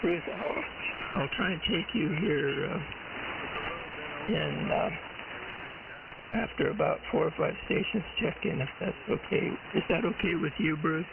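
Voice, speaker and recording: A man with a croaky voice hosting an amateur radio net in a halting, rambling, casual way over an AM radio transmission. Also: fairly high pitch. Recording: narrow, heavily static-filled AM shortwave radio.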